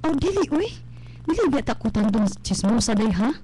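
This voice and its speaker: A woman's voice: a little high, sing-song, moderate pace, warm tone, husky. A woman playing a gossipy, loyal housemaid with a Cebuano accent, chatty and singsong, in a radio drama. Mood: curious, chatty. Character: gossipy, loyal housemaid confidante of young mistress.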